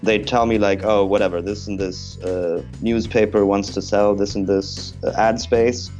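A man's voice: fairly low pitch, level tone, moderate pace, darkish tone, lo-fi sound, faint music behind. A young man from Germany, a stand-up comic, talking in a joking, self-deprecating way and laughing often. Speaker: stand-up comedian. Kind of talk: stand-up set and casual interview. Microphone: video call.

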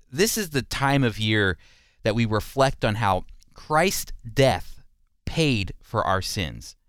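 A man's voice: mid-range voice, fairly bright tone, clean tone, dry recording, clean studio sound. A man hosting a radio program, teaching the Bible and commenting in an earnest, emphatic, explanatory way.

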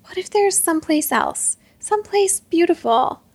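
A woman's voice: sits high, expressive, normal speed, no echo. A woman voicing a defiant, impassioned girl in a storybook, by turns furious and pleading.

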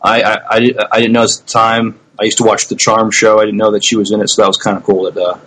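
A middle-aged man co-hosting a podcast, chatting casually with a hesitant, halting delivery. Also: fairly low pitch, flat delivery, fairly bright tone, husky, rough recording. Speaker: podcast co-host.

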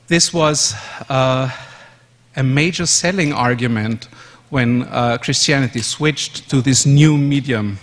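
A man in his fifties from Austria whose first language is German, giving a measured conference presentation in English with fillers and self-corrections. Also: mid-range voice. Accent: German-speaking Austrian. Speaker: conference speaker. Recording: long hall reverb.

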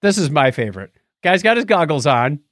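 A man's voice: fairly high pitch, big pitch swings, a little fast, fairly bright tone, dry recording, studio quality. A man hosting a podcast, chatting casually and jokily about tech news with theatrical, self-deprecating announcing. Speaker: podcast host.

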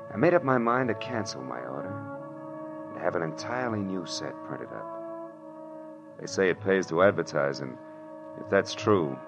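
An American actor playing a shrewd, world-weary private detective in 1940s radio drama, with a clipped, wry, emphatic delivery. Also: male voice, a bass voice. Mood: weary, reflective. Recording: muffled old radio broadcast, music behind.

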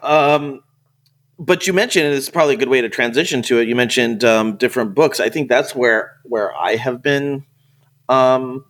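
A man's voice: medium pitch, moderate pace, a bit bright. A man hosting a podcast in casual, conversational chat. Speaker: podcast host.

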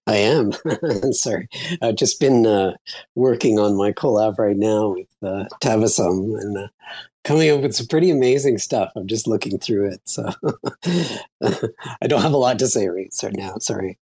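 Husky, mellow man co-host. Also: fairly low pitch, moderate pace, no room sound. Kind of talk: casual chat in an online audio room.

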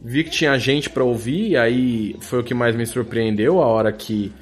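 A man's voice: mid-range voice, moderate pace. A man with a Brazilian accent talking casually as a podcast guest, informal and slangy, with a joking touch. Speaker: podcast guest, audio drama producer. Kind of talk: casual conversational interview.

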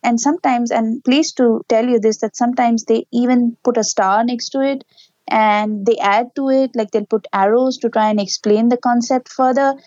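A woman's voice: on the high side, steady tone, normal speed, dark tone, no room sound, muffled recording. A woman, a parent giving earnest, explanatory answers in an interview. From Pakistan. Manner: earnest, explanatory, with small laughs. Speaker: interview guest, parent and educator.

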